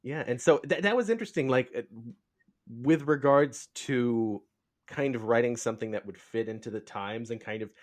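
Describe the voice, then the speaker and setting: A man hosting a casual podcast interview, talking in a halting, rambling way as he feels for words. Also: mid-range voice, a little mellow. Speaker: podcast host.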